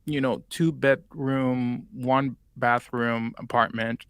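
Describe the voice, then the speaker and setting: A man's voice: medium pitch, flat delivery, takes it slowly, a bit bright, smooth, dry recording, studio quality. A man in his twenties from Puerto Rico, with a Spanish accent, telling his story as an interview guest in a rambling way with many fillers. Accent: Puerto Rican Spanish. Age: 20s. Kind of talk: casual interview chat.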